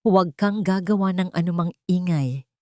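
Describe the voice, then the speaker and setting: A woman's voice: on the low side, normal speed, a little mellow, no room sound, clean studio sound, lightly acted. A woman narrator voicing a loyal, protective elderly male butler giving urgent, secretive instructions in an audio drama.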